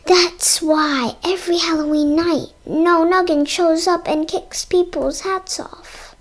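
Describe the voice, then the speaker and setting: High-voiced, very slow storybook reader, female. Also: steady tone. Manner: halting reading, stumbles over words and sounds them out. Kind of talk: read-aloud narration of a children's book.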